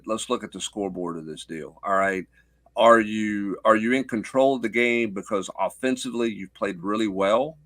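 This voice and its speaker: Low-voiced middle-aged sports analyst. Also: male voice, fairly bright tone, dry recording. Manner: explanatory, punctuated with rhetorical questions and check-in tags. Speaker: sports journalist guest.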